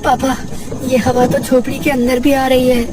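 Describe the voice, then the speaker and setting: A woman's voice: high-pitched, little pitch movement, normal speed, recorded from a distance, music in the background, lightly acted. A woman voicing a small, sweet, eager village boy in a cartoon, earnest and childlike. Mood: cold, pleading.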